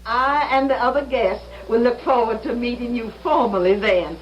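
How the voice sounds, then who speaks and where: A woman's voice: a little high, normal speed, muffled recording, a little hiss or hum, lightly acted. An actress playing a courteous, apologetic boarding-house landlady in an old-time radio drama, with polite, formal phrasing.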